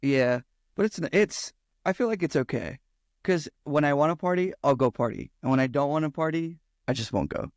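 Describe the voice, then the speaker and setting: A man's voice: a little high, lively intonation, normal speed, dry recording, studio quality. Easygoing young man, host. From Arizona, US. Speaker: podcast host. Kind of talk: casual conversation.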